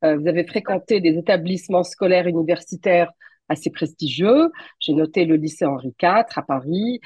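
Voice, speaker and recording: A woman hosting an interview show, speaking in a measured, polite way with some fillers and restarts. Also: on the low side, darkish tone, slightly rough, dry recording. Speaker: show host.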